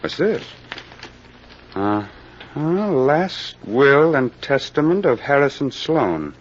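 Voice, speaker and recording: A dogged, gruff police captain in an old radio mystery. His delivery swings freely in pitch, curt and wry, with pauses to think. The recording is dull and muffled. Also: very animated, slight background noise. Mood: musing.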